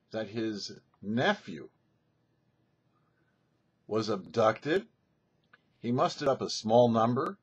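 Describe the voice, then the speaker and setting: A male rabbi delivers a scripted sermon with a lively, swinging pitch and a husky edge to his voice. He is emphatic and instructive, setting out each phrase deliberately. The recording sounds somewhat dull and lo-fi. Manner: emphatic, didactic, rhetorical questions and deliberate phrasing. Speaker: rabbi, host of a weekly Torah show. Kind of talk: scripted religious teaching, sermon.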